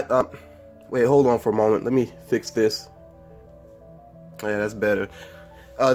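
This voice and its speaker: A man with a stuffy, congested voice from a cold talks through a casual film review at a middling pitch. He rambles easily, backtracks and corrects himself mid-sentence. Soft music plays faintly behind him. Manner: rambling and chatty, with frequent fillers and self-corrections. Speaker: movie review vlogger. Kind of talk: casual spoiler movie review.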